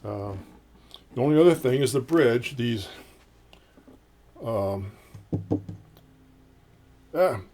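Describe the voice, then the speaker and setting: A man in his fifties with a low voice, reviewing a guitar in casual, rambling talk full of ums, recorded in a small home studio. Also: animated, slow, long pauses, warm tone, a little hiss or hum. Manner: rambling, folksy, many ums and uhs.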